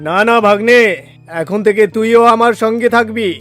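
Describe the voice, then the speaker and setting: A man voicing a fierce lion with a soft heart in a cartoon, speaking in a dramatic, declamatory way. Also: sits high, fairly even intonation, normal speed, soft background music. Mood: friendly, reassuring. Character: fierce male lion softened into a friend.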